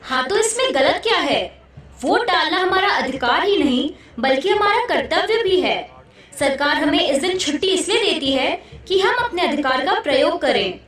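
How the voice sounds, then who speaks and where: A woman's voice: very high-pitched, expressive, normal speed, fairly bright tone. A woman playing an outspoken, dutiful wife who argues back emphatically in a puppet show. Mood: defiant. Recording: very echoey hall, distant mic.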